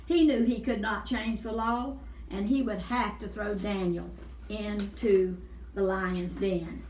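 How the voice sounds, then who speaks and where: A woman's voice: medium pitch, fairly even intonation, slow, a little mellow, slight background noise. A woman storyteller reading a Bible story aloud for children, explaining and repeating words for emphasis. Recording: echoey room, distant mic.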